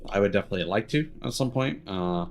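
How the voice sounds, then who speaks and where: A man giving casual livestream game commentary, musing in a halting way and often trailing off mid-thought. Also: fairly low pitch, lively intonation. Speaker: game streamer. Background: game music.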